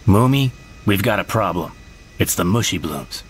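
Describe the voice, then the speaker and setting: A man's voice: deep voice, expressive, moderate pace, slight background noise. A man playing a cocky, sly space adventurer with a swaggering, teasing manner in a comedy sci-fi audio drama. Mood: urgent, serious.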